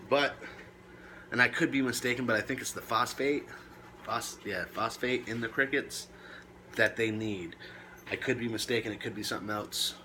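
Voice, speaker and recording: A man presenting reptile care tips in a casual, laid-back way with short emphatic phrases, now and then coughing or clearing his throat. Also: medium pitch, normal speed, sharp tone, slight background noise. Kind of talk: casual informal talk to camera.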